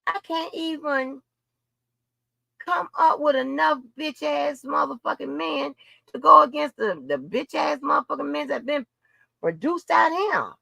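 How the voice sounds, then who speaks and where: A woman's voice: high-pitched, animated, measured pace, creaky, bright, forceful tone, no echo, low-quality recording. A woman in an unscripted livestream rant, blunt, profane and confrontational, repeating phrases for emphasis. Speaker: livestream host.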